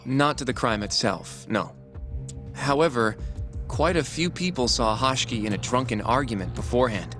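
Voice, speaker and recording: A man playing an earnest office assistant in a game drama, with a mid-pitched voice. He lays things out precisely and matter-of-factly over faint music. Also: normal speed, fairly bright tone, lightly acted. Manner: matter-of-fact, orderly. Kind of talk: naturalistic video game cutscene acting. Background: faint background music.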